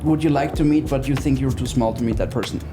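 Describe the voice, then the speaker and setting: A man's voice: medium pitch, fairly even intonation, fast talker, music in the background. A man, talking conversationally as a guest in an interview. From Austria. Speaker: interview guest, business therapist.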